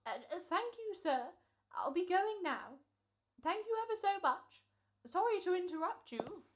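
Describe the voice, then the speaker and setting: A woman playing a polite, eccentric old gentleman salesman with hesitant, folksy speech in a radio comedy-horror play. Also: very high voice, big pitch swings, moderate pace, heavily acted. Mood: polite, grateful.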